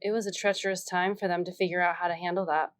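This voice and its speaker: A woman's voice: lowish voice, flat delivery, fast. A woman in her thirties giving improvised comic answers on a video call from home. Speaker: panel show regular. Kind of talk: improvised comedic chat.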